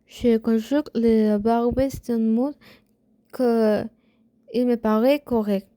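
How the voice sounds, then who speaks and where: A young woman speaks French with a Spanish accent, her voice sitting fairly high but mellow and soft in tone. She talks very slowly, pausing and correcting herself as she works through a prepared answer. The recording is dry and close, with no room sound. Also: fairly even intonation, dry recording. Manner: slow and hesitant, with pauses and self-corrections. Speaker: language student. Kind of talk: prepared spoken assignment answering questions.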